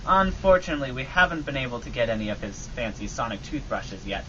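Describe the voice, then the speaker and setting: A man's voice: mid-range voice, fast, bright, forceful tone, close to an everyday voice. Man acting a composed, self-important airship captain, muffled. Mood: formal. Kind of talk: comic old-time radio acting. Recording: muffled recording with faint noise.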